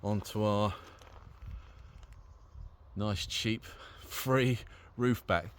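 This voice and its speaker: A British man with a fairly low voice talks in an easygoing, informal way, as if showing someone round his vehicle. It is a chatty handheld vlog, with faint noise behind the voice. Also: fairly even intonation, very slow. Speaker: vehicle owner presenting an installation. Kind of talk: casual walk-through vlog. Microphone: handheld camera or phone.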